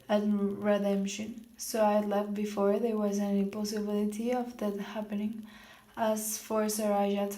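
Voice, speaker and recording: A woman reads a novel passage aloud for a class assignment, her voice staying flat and level. She often stalls on words and restarts them before going on. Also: mid-range voice, reverberant. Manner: halting, self-correcting reading. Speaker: student reader.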